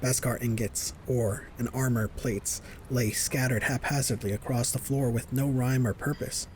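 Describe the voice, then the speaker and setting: A man's voice: medium pitch, fairly even intonation, normal speed, a little mellow, slight background noise. A man reading scripted narration for an audio drama.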